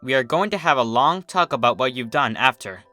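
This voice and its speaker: A man playing an adult female pony princess in a story reading, a strict but motherly mentor with a measured manner. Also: medium pitch, animated, bright, forceful tone, dry recording, soft background music. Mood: soothing, firm. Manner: strict, measured, soothing with her student.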